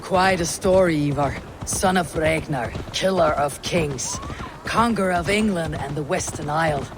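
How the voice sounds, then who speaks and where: An actor playing a resolute, blunt Viking raider commander in a video game, terse and commanding. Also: male voice, on the high side, measured pace, natural voice. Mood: measured. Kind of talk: video game dramatic acting. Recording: loud noise behind the voice.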